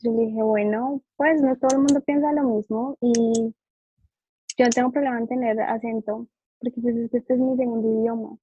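A woman's voice: on the high side, normal speed, slightly rough, dry recording, dull audio. A young woman whose first language is Spanish, a psychologist guest telling her story in a casual interview and animatedly acting out the people she quotes. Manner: animated, acts out quoted dialogue. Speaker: psychologist and behavioral therapist guest.

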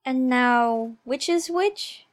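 A man's voice: extremely high pitch, drawn-out words, voice pushed up, full character voice. A man voicing a polite, timid, easily flustered young girl in a storybook, speaking earnestly and plaintively. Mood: puzzled, anxious. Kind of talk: storybook character voice.

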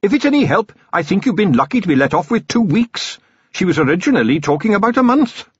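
A man's voice: sits high, sing-song, quick pace, slightly rough, no echo, muffled recording, pitched above the performer's own voice, heavily acted. A man voicing an earnest, courteous male police captain, respectful and upbeat, in an audiobook. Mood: apologetic.

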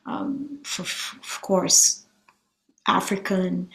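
A woman's voice: medium pitch, very slow, creaky, fairly bright tone, poor audio. A woman from Brazil with a Brazilian Portuguese accent, a musician answering interview questions thoughtfully and often restarting her sentences. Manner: thoughtful, searching for words, frequent restarts. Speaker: musician guest. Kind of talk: conversational interview.

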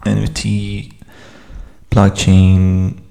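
A man's voice: lowish voice, completely flat delivery, very slow, vocal fry, warm tone, long reverb tail. A man in his thirties from California, co-hosting a podcast in a hesitant, casual chat with frequent laughs. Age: 30s. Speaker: podcast co-host.

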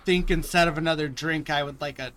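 A man's voice: on the high side, fairly even intonation, quick pace, a bit bright, a little hiss or hum. A man improvising casually in a tabletop roleplaying game, making up characters and what they say.

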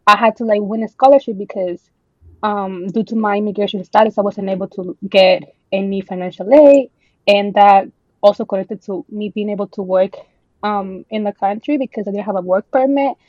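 A woman's voice: mid-range voice, moderate pace, no room sound, muffled recording. A woman in her twenties from El Salvador, a podcast guest chatting casually with many ums and bits of Spanish mixed in.

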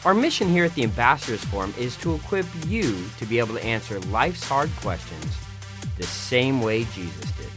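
A middle-aged man hosting a radio interview show, reading a scripted introduction. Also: mid-range voice.

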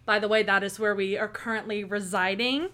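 A woman's voice: medium pitch, fairly even intonation, brisk, sharp tone. A woman in her twenties from Oklahoma, co-hosting a casual podcast in a chatty, upbeat way with many fillers. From Tulsa, Oklahoma. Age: 20s. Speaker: podcast co-host. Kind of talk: casual chat.

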